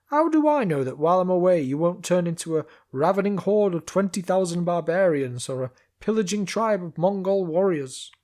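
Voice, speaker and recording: A man's voice: high voice, lively intonation, normal speed, hardly any pauses, a little mellow, pitched above the performer's own voice. A man voicing a grumpy, sarcastic male castle guard who bellows down bluntly from the battlements. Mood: gruff shouting.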